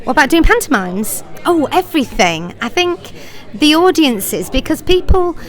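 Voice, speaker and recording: A woman's voice: high-pitched, sing-song, moderate pace. A middle-aged woman, a pantomime writer, director and actor, answering interview questions in a chatty, effusive way and laughing easily.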